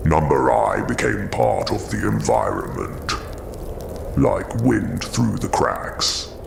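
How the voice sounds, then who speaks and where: A haunted giant creature for an audio drama, super low and slow, gentle but weary, noisy background. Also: male voice, huge pitch swings, vocal fry, exaggerated voice. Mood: sorrowful. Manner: slow, weighted, halting delivery.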